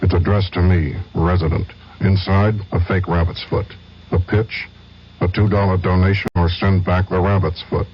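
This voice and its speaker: An actor playing a world-weary, deadpan private detective narrating a radio ad in clipped noir style. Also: male voice, low-pitched, normal speed, soft background music, close to an everyday voice. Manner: terse, deadpan. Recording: dull, muffled old radio broadcast.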